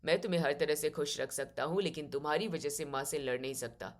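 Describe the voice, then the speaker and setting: A mild young husband from a storybook family drama, voiced by a woman. His voice is low and calm as he dutifully explains himself, never giving ground. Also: fast, lightly acted. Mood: calm, defensive.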